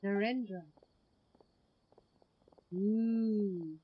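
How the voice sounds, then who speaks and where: A woman's voice: medium pitch, drawn-out words, breathy edge, narrow, tinny audio. A woman with a Filipino accent chatting casually as she comments on a live game playthrough. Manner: code-switching between English and Tagalog. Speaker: game streamer.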